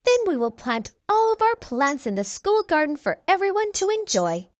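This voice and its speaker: A woman's voice: high voice, big pitch swings, normal speed, no room sound, pitched above the performer's own voice. A woman voicing a kind, encouraging preschool teacher in a storybook, explaining patiently to small children.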